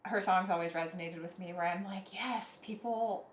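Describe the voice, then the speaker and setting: A young woman singer-songwriter, soft-spoken, talking reflectively in a casual interview. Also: lowish voice, moderate pace. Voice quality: soft, airy voice. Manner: reflective, thoughtful, with frequent fillers. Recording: echoey room, faint noise.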